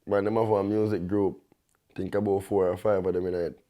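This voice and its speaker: Deep-voiced young Jamaican man, laid-back. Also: flat delivery, fairly quick, dark tone. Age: young adult. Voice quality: deep bass voice. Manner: laid-back, peppers talk with "you see me?". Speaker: guest dancehall artist. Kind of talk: relaxed interview talk in Jamaican patois.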